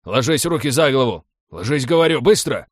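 A man's voice: mid-range voice, moderate pace, no echo, clean studio sound, close to an everyday voice. A man voicing an aggressive police commando who barks orders in a commanding manner, in an audiobook story. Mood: shouting, urgent. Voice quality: muffled with a commanding rasp. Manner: barking, commanding.

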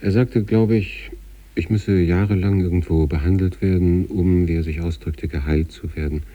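A man acting an obsessive sanatorium patient in a literary radio monologue, reading out letters to his wife with measured, introspective intensity and a husky, gravelly voice. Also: fairly even intonation, no room sound, far from the mic, muffled recording. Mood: quiet, impatient. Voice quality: husky, gravelly, dark low voice.

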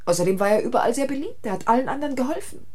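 A weary, traumatized wife in a radio crime play. Her voice is husky and moves through a wide range. She speaks haltingly and intensely, circling back on her own words. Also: fairly high pitch, expressive, darkish tone. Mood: bitter, resigned. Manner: halting, repetitive, intense delivery. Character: weary, traumatized wife who killed her tormenting husband. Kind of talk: German radio crime drama acting.

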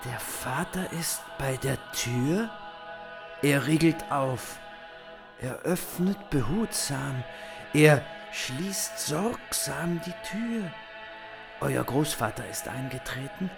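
An actor in a radio play playing a solemn watcher who reports in halting short observations what he sees. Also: medium pitch, expressive, gravelly, over music. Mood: tense, watchful. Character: solemn, hushed watcher narrating what he sees through a window. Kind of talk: slow symbolist radio play acting.

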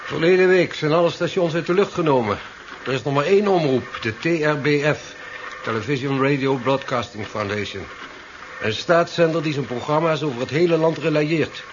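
Higher-voiced, self-assured chemist played by a man, noisy recording. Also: normal speed, close to an everyday voice. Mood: informative. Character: helpful, self-assured male scientist's assistant, a chemist. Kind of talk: 1970s Dutch radio drama acting. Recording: noisy, dull 1970s radio recording.

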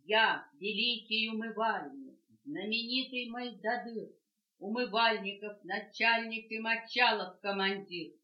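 A woman voicing a stern, grand male washbasin commander who scolds loudly, on an echoey, dull cassette tape. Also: measured pace, close to an everyday voice. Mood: boastful. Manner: scolding, booming declamation.

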